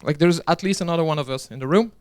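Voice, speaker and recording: Clear-voiced man giving a joking conference talk. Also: on the high side, very fast, a little mellow. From Belgium. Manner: chatty, joking, restarts often. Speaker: conference speaker and organizer. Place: conference hall.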